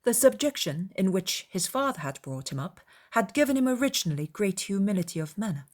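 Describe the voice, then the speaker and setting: A woman narrating a classic novel as an audiobook, in a measured, formal storytelling manner. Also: medium pitch, very animated, darkish tone. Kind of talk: dramatized literary narration.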